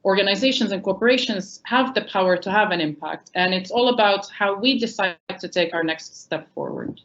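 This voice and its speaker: A woman's voice: mid-range voice, fairly quick, a bit bright, dull audio. A woman whose first language is not English, speaking earnestly on a panel with many ums and self-corrections. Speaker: panelist from a refugee employment nonprofit. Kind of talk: panel discussion remarks.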